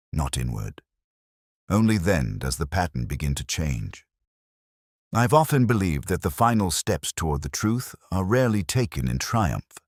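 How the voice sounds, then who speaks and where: Guy doing a world-weary inspector telling his case, super low voice, solemn and measured, dry close sound. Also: no room sound, full character voice. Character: brooding, world-weary male police inspector recounting a case. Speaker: narrator. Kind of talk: scripted first-person detective narration.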